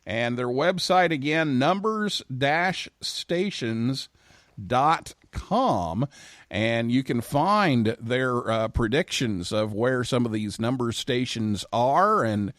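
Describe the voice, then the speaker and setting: A man's voice: medium pitch, animated, slow pace, fairly bright tone, dry recording, clean studio sound. A man hosting a radio show, talking casually as he interviews a guest.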